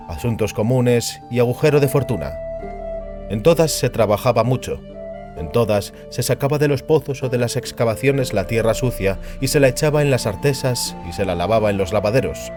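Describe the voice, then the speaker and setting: A man narrating a fantasy novel in a scripted, literary audiobook style. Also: lowish voice. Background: music.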